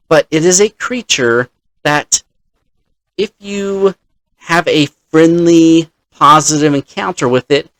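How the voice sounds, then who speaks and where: A man in the role of dungeon master, casually telling a story from his game session in a halting, deliberate manner. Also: fairly high pitch, fairly bright tone, no echo, rough recording.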